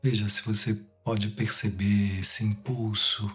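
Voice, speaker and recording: A Brazilian man leads a guided meditation in a low, slightly husky voice. He speaks very slowly, in short phrases separated by long pauses. Soft music plays behind him. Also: flat delivery.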